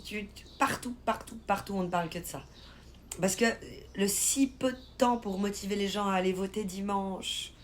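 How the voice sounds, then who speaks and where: A woman from Switzerland chatting casually on a live stream, reading and answering viewers' comments in an informal, slangy, expressive way. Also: mid-range voice. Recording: live stream with clear background noise.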